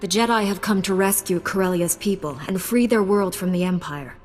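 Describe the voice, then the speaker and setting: A composed female Jedi commander from a video game, played by an actress, speaking in measured, resolute phrases. She is dutiful and terse, with clear music playing underneath. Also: mid-range voice, a little mellow, lightly acted. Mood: calm resolve. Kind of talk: video game cutscene dialogue.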